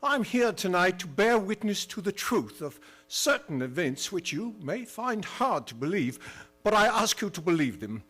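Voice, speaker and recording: A man playing an earnest gentleman narrator in an old-time gothic radio drama, formal and solemn. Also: high voice, big pitch swings, moderate pace, soft background music, full character voice. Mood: grave, earnest.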